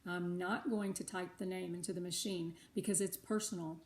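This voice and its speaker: A middle-aged or older woman reading a storybook aloud to schoolchildren, animated, with chatty asides of her own. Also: lowish voice, moderate pace. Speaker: read-aloud host for schoolchildren.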